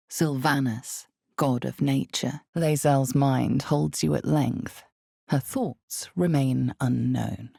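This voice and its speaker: An all-knowing female storyteller of a dark fantasy video game, her voice extremely low and thick with gravelly fry. She speaks slowly and deliberately, with hushed, portentous weight on each phrase. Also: no room sound, clean studio sound, heavily acted. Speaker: game narrator. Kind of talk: dramatic second-person fantasy game narration.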